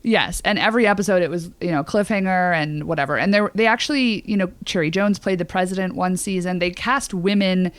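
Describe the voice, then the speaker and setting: Low-voiced American woman chatting on a podcast. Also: brisk, few pauses, dry recording. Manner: chatty, opinionated, uses "like" often. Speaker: podcast co-host. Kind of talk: casual podcast chat.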